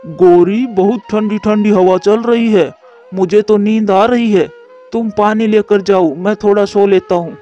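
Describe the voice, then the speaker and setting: A man's voice: high voice, steady tone, unhurried, warm tone. A man voicing a lazy, scheming crow husband in a children's cartoon. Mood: drowsy, lazy. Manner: scheming, work-shy. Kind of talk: Hindi children's cartoon voice acting. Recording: dull lo-fi audio with music behind.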